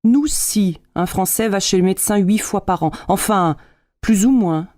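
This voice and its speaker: A woman acting out everyday scripted dialogues for language learners, speaking clearly and deliberately. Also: medium pitch, darkish tone, no room sound, studio quality.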